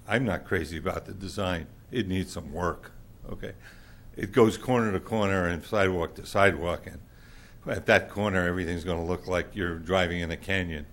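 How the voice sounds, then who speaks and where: Man, loud booming low voice, blunt public commenter at a podium mic. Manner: blunt, plainspoken, with many fillers. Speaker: public commenter at a council meeting. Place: council chamber.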